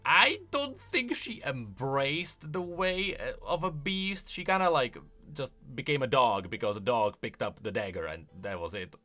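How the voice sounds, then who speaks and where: A man's voice: mid-range voice, expressive, measured pace, pushed and bright, faint music behind. A man hosting a gaming lore video, giving casual, conversational commentary with plenty of emphasis.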